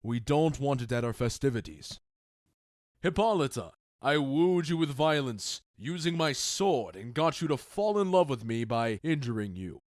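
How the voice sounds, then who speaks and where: A man playing a commanding, measured duke in an audio drama, speaking with stately, deliberate authority. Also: mid-range voice, sing-song, no echo, clean studio sound, close to an everyday voice. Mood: festive, assured. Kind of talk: modernized Shakespeare audio drama acting.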